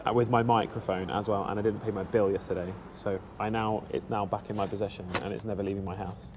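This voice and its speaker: A man's voice: fairly low pitch, fairly even intonation, fast talker, noisy background. A man in his thirties with a British accent, chatting casually and self-deprecatingly to viewers on a live stream, talking into a small helmet mic. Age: 30s. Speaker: motorcycle vlogger streaming live. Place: outdoors in central London, in a café stop. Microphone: small action-camera mic on a helmet.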